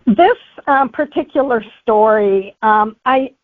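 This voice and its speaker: A woman's voice: a little high, slow pace, no room sound. A woman being interviewed as an author, giving conversational answers over a thin phone-like connection. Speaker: author guest.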